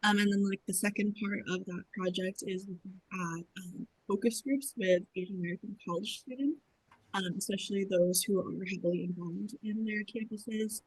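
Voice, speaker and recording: A woman's voice: mid-range voice, fairly even intonation, normal speed, slightly rough, poor audio. A young woman from Boston describing her research on a video-call panel, hesitant with many ums and likes. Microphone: video call with dropping audio.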